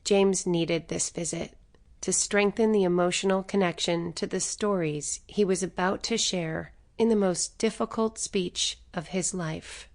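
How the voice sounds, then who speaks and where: Lower-pitched woman narrating an audiobook. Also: fairly even intonation, measured pace. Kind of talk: scripted narration.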